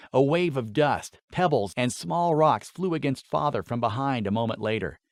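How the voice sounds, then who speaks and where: A man's voice: mid-range voice, dry recording, studio quality. A man reading scripted fantasy fiction as a dramatic audiobook narrator.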